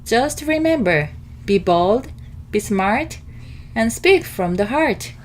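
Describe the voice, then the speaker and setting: A woman voicing a kindly, encouraging female schoolteacher in an undersea storybook, instructive and measured. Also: a little high, expressive.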